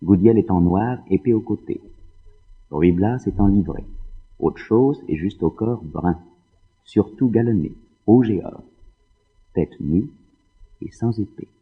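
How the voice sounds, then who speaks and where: A man narrating an audio drama, reading scripted stage directions in a husky voice. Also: fairly low pitch, lively intonation, takes it slowly, long pauses. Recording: muffled, narrow phone-like sound.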